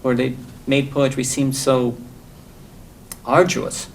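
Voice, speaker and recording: Gravelly-voiced man from El Salvador. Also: mid-range voice, flat delivery. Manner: thoughtful, halting with fillers. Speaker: poet guest. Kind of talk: interview answers.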